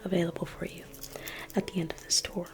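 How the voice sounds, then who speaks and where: A woman's voice: lowish voice, level tone, fast, vocal fry, over music. A young woman whispering an ASMR roleplay as a museum guide, playful and teasing like a salesperson.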